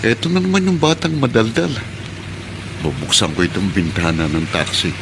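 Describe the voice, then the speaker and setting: Blunt taxi driver, noisy background. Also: male voice, fairly low pitch, wildly swooping pitch, normal speed, exaggerated voice. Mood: irritated, amused. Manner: casual, blunt, teasing. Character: gruff but kindhearted adult male taxi driver. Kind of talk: radio drama acting. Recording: clear vehicle noise behind.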